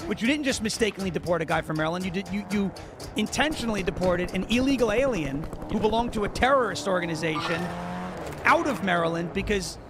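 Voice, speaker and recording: A man's voice: high-pitched, animated, quick pace, music in the background. A man in his thirties from New York, casually and argumentatively discussing politics, often repeating himself. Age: 30s.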